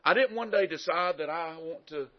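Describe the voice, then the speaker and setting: A man's voice: fairly high pitch, moderate pace. A man with a Southern American accent preaching a sermon in a folksy, conversational way with emphatic rises. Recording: dull lo-fi sound.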